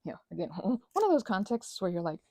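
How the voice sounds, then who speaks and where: Give me a creaky-voiced, animated woman rambling casually. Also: mid-range voice, a little fast, dry recording. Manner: meandering, self-deprecating storytelling with acted-out asides. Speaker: host of a crafting ramble and ASMR channel.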